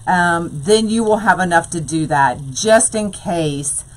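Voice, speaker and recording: A woman leads a live craft lesson in a ribbon-filled craft room. She talks slowly and casually in a lowish voice. She chats with her viewers between steps. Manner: chatty, says "y'all", answers live chat. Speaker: wreath-making tutorial host.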